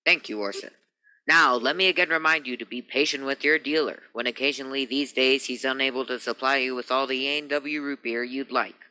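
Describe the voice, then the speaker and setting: An upbeat vintage radio pitchman, acted by a man, sells in a folksy, reassuring 1940s style. His voice is very bright and forceful, with polished delivery. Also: steady tone, a little fast, clear, resonant tone, low-quality recording.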